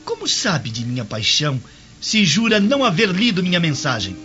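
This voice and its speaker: A man's voice: fairly high pitch, huge pitch swings, normal speed, sharp tone, exaggerated voice. An actor playing a haughty, indignant young Roman nobleman in a radio drama. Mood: indignant surprise. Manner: haughty, demanding, exclamatory. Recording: dull lo-fi radio drama, music underneath.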